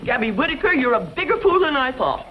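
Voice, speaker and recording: An indignant western woman bank owner, haughty and sarcastic, her pitch swinging widely as she scolds. It sounds like a muffled old radio recording with faint noise. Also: very animated, fast.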